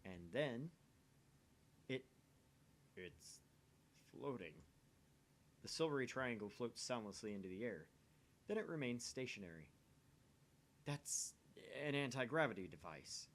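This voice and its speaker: Man reading aloud with lively intonation. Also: mid-range voice, unhurried, pauses often. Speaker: let's play host reading a visual novel aloud. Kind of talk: narration reading mixed with casual chat.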